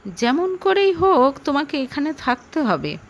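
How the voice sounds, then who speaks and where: A woman's voice: high-pitched, big pitch swings, measured pace, exaggerated voice. A woman voicing a hot-headed, possessive male lover with a demanding, intense manner in a dramatic audio story.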